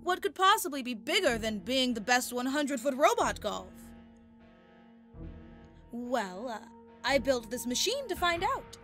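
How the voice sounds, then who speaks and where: A woman's voice: sits high, very animated, a little slow, pauses often, fairly bright tone, soft background music, full character voice. An actress playing an imperious, power-hungry female golf boss in an anime-style game, haughty and grandiose.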